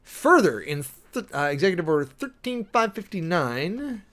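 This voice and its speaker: An American man reading official documents aloud dramatically and commenting closely on them, with emphatic, deliberate, theatrical phrasing. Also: high voice, big pitch swings, fairly bright tone. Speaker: streamer, preacher host.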